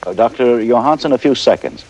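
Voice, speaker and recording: A man playing a composed television news anchor in a breaking crisis, speaking in a halting, measured way. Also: mid-range voice, big pitch swings, creaky, a little mellow, rough recording. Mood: businesslike. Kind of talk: live news bulletin acting.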